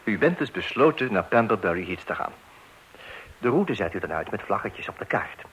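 A man's voice: on the low side, fairly bright tone, a little hiss or hum, close to an everyday voice, poor audio. An actor playing a fussy, methodical family father who also narrates a radio play in a mock-solemn, instructive tone. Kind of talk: Dutch radio play acting.

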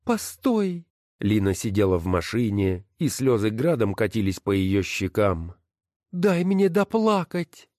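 A man reading aloud, voicing an indignant, exasperated wife who talks in a scornful, sharp, high voice. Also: very animated, unhurried, no room sound, studio quality. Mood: tearful.

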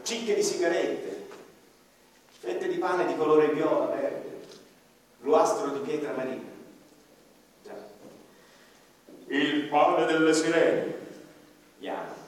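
Male actor as an embittered townsman mourning his poisoned coast, slow solemn delivery, voice a bit high, heard from far off in an echoey hall. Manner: slow, solemn declamation with dramatic pauses. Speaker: stage actor. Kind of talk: theatrical monologue. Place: theatre stage. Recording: distant, echoey stage recording in a hall. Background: violin music.

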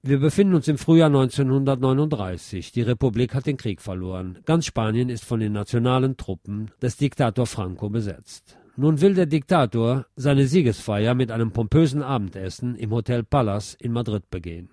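A man presenting a scripted film review as a radio host, measured and articulate in his delivery. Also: mid-range voice, lively intonation, warm tone, dry recording, clean studio sound.

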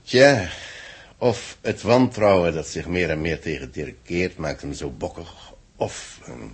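A measured, thoughtful male chronicler with a deep, creaky and very husky voice. He speaks deliberately, in the style of a 1960s radio serial on an old recording. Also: full character voice. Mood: musing. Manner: musing, deliberate. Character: thoughtful, measured man reviewing and narrating a space expedition. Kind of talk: 1960s radio drama acting.

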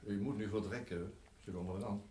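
A man acts as a prison guard in a radio play, polite yet urgent. His voice is low. It rings in an echoey space with a little noise. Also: fairly even intonation, quick pace, warm tone, close to an everyday voice. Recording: echoey, slightly noisy radio play recording.

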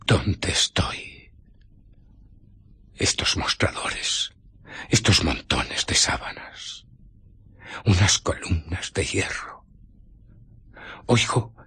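An actor with a Castilian Spanish accent playing an agitated invisible scientist recounting his ordeal in a radio drama, moving theatrically between hushed murmurs and outcries. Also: very deep voice, expressive, slow, pauses often, husky, exaggerated voice. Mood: hushed, wary.

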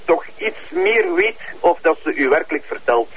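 High, animated, suspicious Flemish detective. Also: male voice, moderate pace, vocal fry, breathy edge, exaggerated voice, poor audio. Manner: pressing, repetitive. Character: suspicious, insistent male police investigator. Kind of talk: police interrogation scene in a radio drama.

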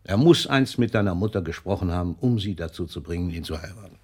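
An actor playing a wry, indulgent elderly poet in a German radio play, with a husky voice and a measured, avuncular manner. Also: male voice, low voice, expressive. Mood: gently impatient. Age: older.

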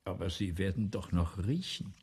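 A man's voice: deep voice, normal speed, darkish tone, heavily acted. A male actor in a radio play playing a vain, ailing, agitated playwright who rambles imperiously and swings between muttering and outbursts. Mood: confused, fretful. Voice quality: husky, gravelly. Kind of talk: 1970s German radio play acting.

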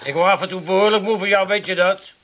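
A put-upon barkeeper from an Amsterdam radio comedy. His voice is high and bright, his delivery blunt and no-nonsense, with faint noise behind it. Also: fairly even intonation, quick pace. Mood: exasperated. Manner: blunt, matter-of-fact. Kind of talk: 1980s radio comedy acting.

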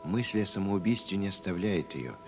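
A man's voice: low-pitched, lively intonation, normal speed, darkish tone, faint music behind. A man narrating a radio drama in a scripted storytelling style.

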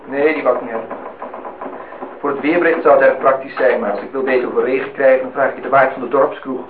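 A man's voice: mid-range voice, fairly even intonation, quick pace, narrow, tinny audio, lightly acted. An actor playing a guarded, prickly, self-deprecating man in a naturalistic radio drama, on a noisy old recording. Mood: wry, casual. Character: reserved, prickly, self-deprecating male amateur painter.

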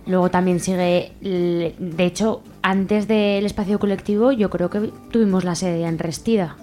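A woman's voice: lowish voice, steady tone, moderate pace, darkish tone, faint music behind. A woman with an accent from Spain talking casually and spontaneously in a radio chat as a library volunteer. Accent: Spanish, from Spain.

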